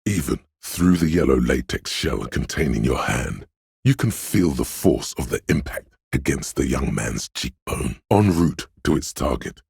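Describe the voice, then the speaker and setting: The brooding inner voice of a detective game, narrating in an extremely deep register. The voice is thick with gravel and heavily husky. Each phrase is measured and dramatic, with a wry edge. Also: male voice, expressive, darkish tone, dry recording, clean studio sound, heavily acted. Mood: solemn, deliberate. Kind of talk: second-person scripted game narration.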